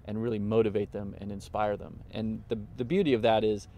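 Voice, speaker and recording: A middle-aged man with a low voice that creaks at the edges. He talks like a professor giving a reflective review in an interview, earnest and a little halting. There is faint noise behind him. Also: steady tone, mellow.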